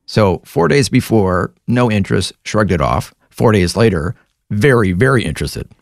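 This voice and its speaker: Low gravelly male interview host. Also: animated, dry recording, studio quality. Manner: measured, attentive, prompting questions. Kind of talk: interview host with scripted intro.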